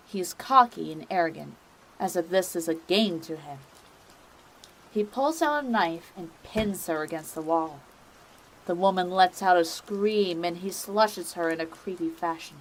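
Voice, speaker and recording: A woman's voice: on the low side, poor audio. A woman reading scripted narration for a dramatic audio story.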